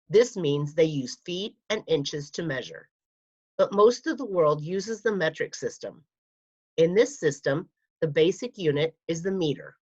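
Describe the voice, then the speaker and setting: A lower-pitched woman reads a children's fact book aloud at an unhurried pace. She phrases things deliberately for young listeners, like a school librarian. The recording is a little dull and lo-fi. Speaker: school media specialist reading aloud.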